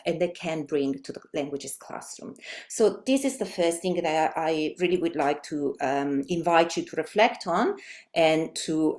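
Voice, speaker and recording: A middle-aged woman whose first language is Italian speaks English with an Italian accent in a somewhat low voice. She gives a webinar presentation, thinking aloud, with frequent ums and restarts. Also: a little mellow. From Italy. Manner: halting, many fillers and restarts.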